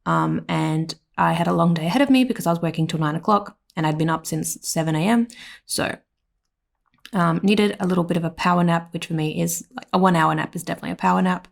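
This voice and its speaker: Hoarse Australian woman, gravelly fry. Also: on the low side, fast. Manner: rambling, with many "like" and "um" fillers. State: slightly hoarse, voice cracking. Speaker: planner vlogger. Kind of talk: casual voiceover narration. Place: at home.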